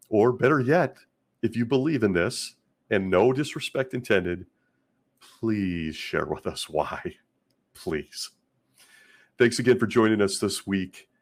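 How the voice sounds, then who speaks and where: Low gravelly-sounding guy with a sick scratchy voice, sarcastic, keeps chuckling. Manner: wry and sarcastic, chuckling often. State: hoarse from illness. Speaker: host. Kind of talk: casual commentary vlog.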